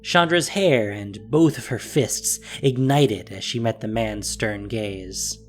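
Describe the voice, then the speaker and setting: A man's voice: on the low side, slow. A man reading scripted story narration as the narrator of an audio drama. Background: music.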